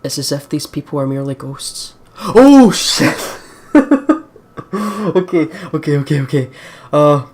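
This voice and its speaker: A woman hosting a let's play, reading visual novel narration and reacting in casual, expressive, sweary commentary. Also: deep voice, unhurried, slight background noise.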